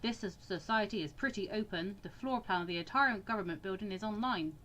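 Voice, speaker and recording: A woman voicing a gruff, dismissive older male mentor in a visual novel, speaking tersely and matter-of-factly. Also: mid-range voice, brisk, a bit bright, a little hiss or hum, close to an everyday voice, low-quality recording.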